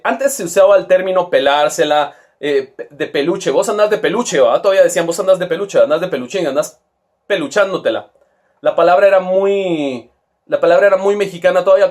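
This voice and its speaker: A middle-aged man with a Guatemalan accent, telling a rambling, crude story in casual slang. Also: high voice. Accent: Guatemalan Spanish. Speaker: toy seller and vlogger.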